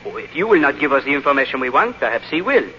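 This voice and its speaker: An actor playing a smoothly polite but menacing French police chief in a 1940s radio drama, speaking deliberately and formally. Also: male voice, medium pitch, big pitch swings, bright, forceful tone, a little hiss or hum. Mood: silky menace. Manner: suave, deliberate, coldly formal. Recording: muffled, reverberant old radio recording.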